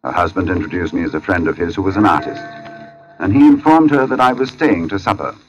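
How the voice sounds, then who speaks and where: Actor as a low-voiced, grave artist telling his tale. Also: fast talker, close to an everyday voice. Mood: puzzled, measured. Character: artist recounting an uncanny encounter, grave and measured. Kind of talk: dramatic first-person radio narration. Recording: dull old radio recording, music behind.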